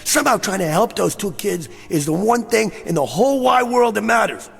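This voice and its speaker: Wisecracking hustler guy, real gravelly, swings up and down, radio drama style. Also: high voice, animated, fairly quick, heavily acted. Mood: earnest, reflective. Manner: slangy, wisecracking, cajoling. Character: brash, fast-talking gambler, agitated man out of his time. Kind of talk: radio drama acting and first-person narration.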